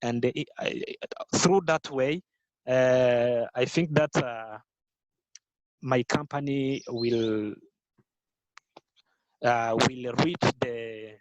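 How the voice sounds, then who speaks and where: A Rwandan man whose first language is Kinyarwanda, answering interview questions in careful, halting English with many fillers and restarts. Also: mid-range voice, no echo, lo-fi sound. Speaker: guest, business founder. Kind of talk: podcast interview.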